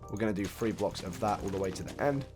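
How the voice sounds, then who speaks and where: A man hoarse and raspy from a recent cold, casually walking viewers through a build step by step. He counts blocks out loud and laughs off his own slip-ups. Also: fairly low pitch, quick pace. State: hoarse, croaky after a cold. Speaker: video game building tutorial host. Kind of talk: casual step-by-step gameplay walkthrough. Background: faint background music.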